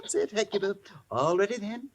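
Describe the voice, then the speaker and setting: An actor playing an excitable, effusive theatrical showman in a 1950s radio drama, emphatic in delivery, on a vintage broadcast recording. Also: high-pitched, fairly quick, dry recording. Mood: coaxing, delighted. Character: excitable theatrical showman who trains singing mice.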